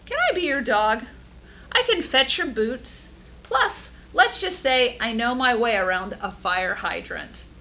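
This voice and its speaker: A woman's voice: on the high side, creaky, bright, forceful tone, full character voice. A woman voicing an eager, lonely male stray dog from a children's storybook, pleading and earnest. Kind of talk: children's storybook character reading.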